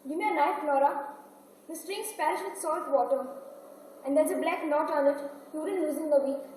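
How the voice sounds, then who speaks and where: A woman's voice: high voice, moderate pace, a little mellow, close to an everyday voice. A woman playing an anxious, bossy young Irish daughter in an amateur stage play, urgent and scolding, in an echoey hall. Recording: echoey hall, distant mic, faint noise.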